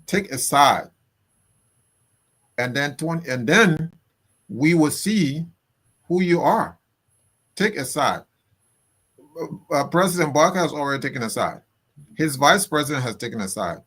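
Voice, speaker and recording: Indignant Liberian man, slow and emphatic. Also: fairly high pitch, animated, fairly bright tone, husky. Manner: indignant, emphatic, repeats phrases for force. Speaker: political commentator guest. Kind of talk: impassioned political commentary.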